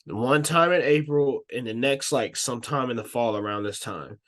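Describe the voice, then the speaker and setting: A man's voice: mid-range voice, moderate pace, fairly bright tone. A young man co-hosting a podcast, congested from allergies and rambling drowsily. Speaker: podcast co-host.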